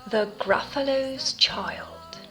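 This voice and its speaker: A woman reading and chatting to children like a teacher, in simple, expressive phrases with dramatic pauses. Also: high-pitched, reverberant, faint music behind. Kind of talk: children's storytelling, gentle chat and narration.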